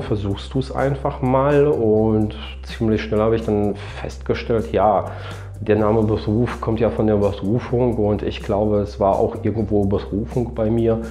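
A man's voice: lowish voice, mellow. A man in his thirties, a nurse, answering interview questions earnestly in long fluent sentences. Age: 30s. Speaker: nurse and team coordinator. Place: indoors before a plain backdrop. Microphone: lapel mic.

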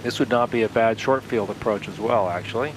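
A man with a fairly low voice narrates his home-cockpit flight in a relaxed, step-by-step way. He speaks into a headset mic. A steady engine drone sits clearly under his voice. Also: fairly quick, noisy background. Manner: relaxed, talking through each step aloud. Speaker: flight sim video host. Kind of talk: casual instructional narration while flying. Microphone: aviation headset.

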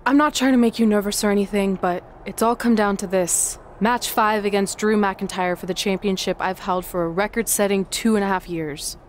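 A woman's voice: mid-range voice, steady tone, normal speed, few pauses, slight background noise, lightly acted. An actress playing a determined woman wrestling champion in a video game, earnest and assertive. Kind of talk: scripted video game cutscene acting.

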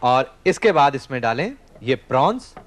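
A man's voice: mid-range voice, big pitch swings, normal speed. A man in his thirties hosting a studio cooking show, explaining each step while he cooks and talks to the camera. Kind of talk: scripted cooking demonstration. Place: TV studio kitchen set.

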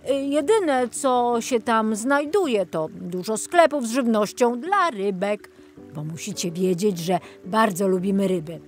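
An enthusiastic male tour guide from a children's storybook, as a woman narrator puts him on. He explains the sights slowly and with friendly exclamations. Music plays faintly behind. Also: a little high, lively intonation. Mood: enthusiastic, explaining. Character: enthusiastic, friendly man guiding a city boat tour. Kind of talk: children's audiobook character.

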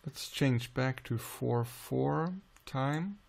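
A man with a Swedish accent calmly explaining music software in a screen-recorded tutorial, pausing often with "uh". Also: medium pitch, gravelly. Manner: calm, unhurried explaining with frequent "uh" pauses.

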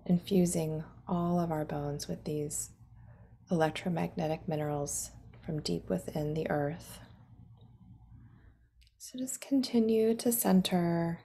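A woman guides a meditation at a very slow, unhurried pace. Her voice is low and mellow, with soothing instructions that sometimes soften into breathy near-whispers. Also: a little hiss or hum.

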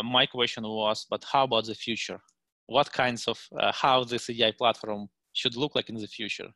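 A man in his thirties with a Russian accent talks through a tech presentation on a video call, stopping often with fillers and restarts. His voice is low and bright, and the headset sound is dry. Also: dry recording. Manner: halting, many fillers and restarts. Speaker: guest speaker. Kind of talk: meetup presentation. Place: home room, indoors.

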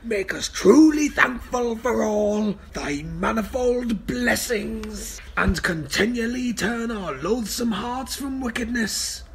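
Man playing a gruff high-pitched pious Scottish farmer. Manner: gruff, pompous, sanctimonious. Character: stern, pious, suspicious Scottish farmer husband, grim and greedy. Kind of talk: homemade radio play acting. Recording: homemade recording with background noise.

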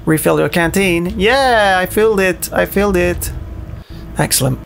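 A man's voice: high-pitched, moderate pace, gravelly, a bit bright, faint music behind. A man giving casual, jokey let's-play commentary, muttering and swearing as he plays. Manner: chatty, jokey, sweary, mutters to himself while playing. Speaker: gaming show host, playing the game.

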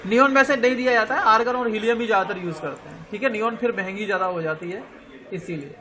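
Bright high-voiced Indian male teacher. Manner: explains slowly, spells words out letter by letter, checks understanding often. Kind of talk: online classroom lecture.

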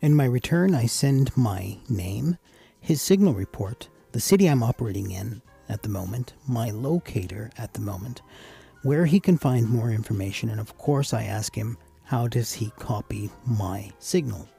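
A man with a gravelly, husky voice giving a casual, explanatory tutorial voice-over as the host of a hobby channel. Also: mid-range voice, lively intonation, moderate pace, warm tone, faint music behind. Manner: casual, addresses viewers as guys.

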